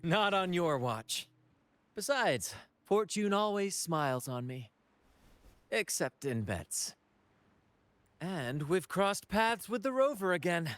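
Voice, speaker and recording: A man's voice: sits high, sing-song, takes it slowly, long pauses, a bit bright, dry recording, faint music behind, heavily acted. A man playing a flamboyant, swaggering ship captain in a fantasy video game, with theatrical, grandiose confidence. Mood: grand, cheerful. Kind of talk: scripted video game dialogue.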